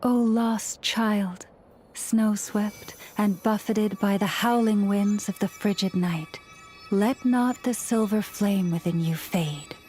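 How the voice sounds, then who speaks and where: A woman acts as a devout young priestess and healer in a video game. She speaks with gentle authority, measured and formal, at a medium pitch. Soft music plays behind her calm, serene delivery. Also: close to an everyday voice. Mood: reverent.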